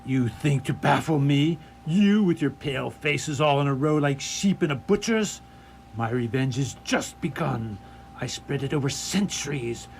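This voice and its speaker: A man playing a commanding, seductive undead vampire count in a radio play, grandiose and deliberate in his menace. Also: mid-range voice, animated, gravelly, a little hiss or hum. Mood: menacing, scornful. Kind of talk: radio theatre acting. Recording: radio play under loud music.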